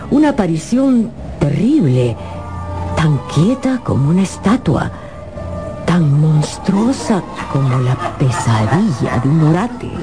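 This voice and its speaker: A woman playing the solemn storyteller of a gothic radio drama, narrating in a deliberate, suspenseful way. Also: fairly low pitch. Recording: music under the narration. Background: music.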